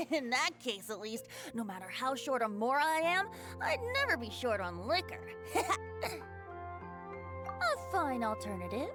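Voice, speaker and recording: Breezy, teasing young female wine lover for a game, high-pitched, theatrical, over background music. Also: very animated, a little slow. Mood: playful. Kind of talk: video game cutscene voice acting.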